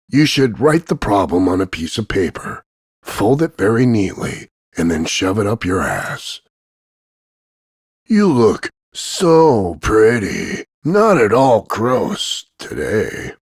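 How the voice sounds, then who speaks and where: A man voicing a cocky, crude macho action hero, gravelly and husky, delivering smug deadpan one-liners in a slow drawl of punchlines. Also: lowish voice, no room sound, studio quality, exaggerated voice. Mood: smug, taunting. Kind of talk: voice-over demo of insults and one-liners.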